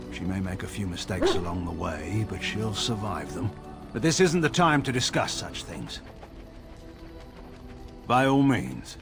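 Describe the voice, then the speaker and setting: An actor playing a proud, stern middle-aged general and father in a fantasy game, speaking in a grave, measured, formal way with dry sarcasm. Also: lowish voice. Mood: firm, proud, then curt, guarded. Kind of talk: dramatic fantasy game dialogue.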